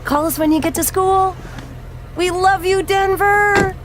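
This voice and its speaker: A woman's voice: extremely high pitch, fairly even intonation, a little slow, noisy background. An actress playing a clingy, sentimental middle-aged mother in a video game cutscene. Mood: clingy, tender.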